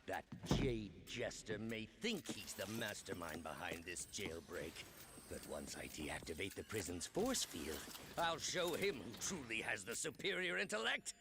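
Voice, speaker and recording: An actor playing an arrogant, scheming supervillain scientist, boastful and sinister, in a pompous game-cutscene style. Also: male voice, on the low side, expressive, a little slow, gravelly, noisy background. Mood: smug, scheming. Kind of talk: video game cutscene acting. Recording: music underneath.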